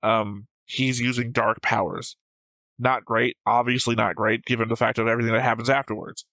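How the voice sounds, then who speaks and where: A man's voice: medium pitch, flat delivery, normal speed, bright, forceful tone, dry recording, rough recording. A man who hosts a reaction show, talking casually and discursively about a series, rambling and swearing freely.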